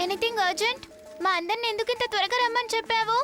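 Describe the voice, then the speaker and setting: Squeaky, fast-talking inquisitive kid. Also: female voice, steady tone. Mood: puzzled. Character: inquisitive child, friend of a boy hero. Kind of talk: children's cartoon dubbing. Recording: loud music behind the voice.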